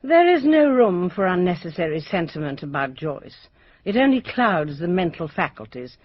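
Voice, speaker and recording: A woman playing a brisk, unsentimental headmistress, speaking formally and bluntly in a radio drama. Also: fairly low pitch, very animated, dry recording. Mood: brisk, dismissive. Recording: dry, slightly dull radio audio.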